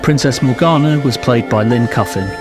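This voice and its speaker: Medium-pitched man reading closing credits. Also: moderate pace, music in the background. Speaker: credits announcer. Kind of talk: scripted announcement.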